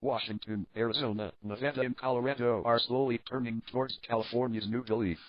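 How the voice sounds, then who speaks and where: A man acting as a sober news anchor with a medium-pitched voice. He reads a scripted report formally and with measure, on a dry, slightly muffled lo-fi recording. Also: steady tone, fast talker, dry recording, close to an everyday voice. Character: sober adult male news anchor of alternate-history crisis. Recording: dull lo-fi narrow band.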